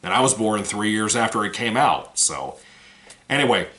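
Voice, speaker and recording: A man's voice: fairly low pitch, animated, moderate pace, pushed and bright. A man giving a casual, rambling movie review, frank and swearing now and then, his voice still recovering from a cold.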